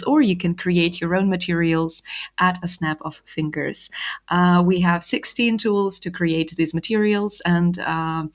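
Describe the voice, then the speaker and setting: An upbeat woman hosts a live online session with a chatty, friendly delivery. Her voice sits a little low, and she sprinkles her talk with fillers and laughter. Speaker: host. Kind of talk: live webinar hosting.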